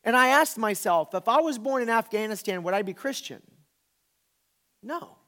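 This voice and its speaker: A man's voice: sits high, animated, moderate pace, bright, forceful tone. A man in his forties from the American South, a pastor giving an informal, conversational sermon with emphatic repetition. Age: 40s. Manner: informal, emphatic, repeats phrases for effect.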